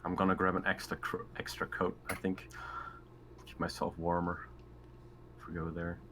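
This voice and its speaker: A man's voice: deep voice, completely flat delivery, moderate pace, background noise. A man chatting casually as a player in a tabletop roleplaying game, talking over plans with the group.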